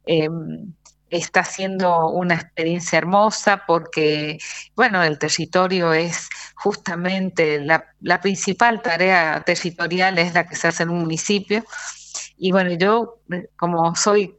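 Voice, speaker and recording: A woman from Argentina, a candidate speaking as a guest in a radio interview, thoughtful and pausing often. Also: lowish voice, steady tone, a bit bright, dry recording. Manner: thoughtful, pauses with fillers.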